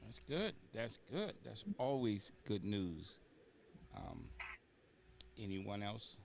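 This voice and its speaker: A man's voice: on the low side, sing-song, takes it slowly, vocal fry, a little hiss or hum. A man hosting a talk show, chatting in an unscripted way. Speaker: talk show host and stage actor.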